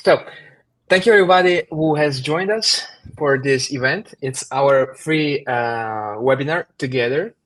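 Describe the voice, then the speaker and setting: A man from Ukraine co-hosting a live online webinar. He admits he is nervous and talks haltingly, with restarts, over a computer mic. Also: medium pitch. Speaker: webinar co-host. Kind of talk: live webinar, casual opening chat.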